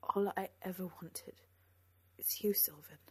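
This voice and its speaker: Woman performing lovesick young noblewoman, husky, anguished, wrathful, pleading, hushed-to-loud swings, dramatic audiobook. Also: lowish voice, steady tone, measured pace. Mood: tearful, hushed. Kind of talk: dramatic audiobook character acting.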